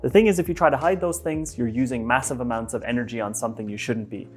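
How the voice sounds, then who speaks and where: A man in his thirties with an Irish accent mixed with American, giving a casual, self-deprecating lesson on facilitation in a bright office. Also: medium pitch, faint music behind. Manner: lively, chatty, self-deprecating, with quick restarts. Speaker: facilitation trainer. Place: bright office room by a whiteboard.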